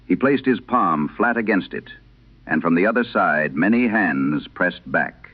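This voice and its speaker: A man narrating a scripted horror tale in vintage radio style, solemn and measured, with dramatic pauses. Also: low-pitched, lively intonation. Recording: dull, band-limited vintage radio sound.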